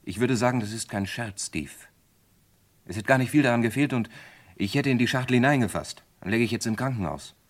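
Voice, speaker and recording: An actor in a 1960s German radio crime drama playing a worried bridegroom with an anxious, hesitant way of talking. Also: male voice, mid-range voice, brisk, lightly acted. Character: worried bridegroom confiding in his detective friend.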